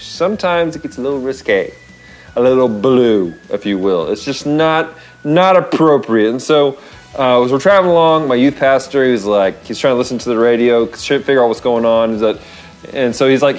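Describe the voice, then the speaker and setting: An American man in his thirties talks as a vlog host in a casual storytelling style. His pitch is very lively and he leans on words for emphasis, over faint background music. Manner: animated, expressive, repeats phrases for emphasis. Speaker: show host. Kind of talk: casual storytelling with devotional encouragement. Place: small wood-panelled room, indoors.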